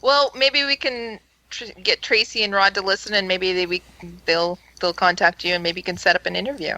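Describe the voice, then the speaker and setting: Bright-voiced woman hosting an interview, dry sound. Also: medium pitch, steady tone, fairly quick, no echo, poor audio. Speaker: podcast co-host and interviewer. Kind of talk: conversational radio interview.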